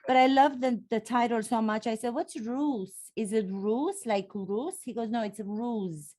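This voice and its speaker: A woman's voice: on the high side, unhurried, warm tone. A woman from Romania with a Romanian accent, hosting an interview and talking expressively as an acting coach. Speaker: podcast host and acting coach. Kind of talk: interview conversation.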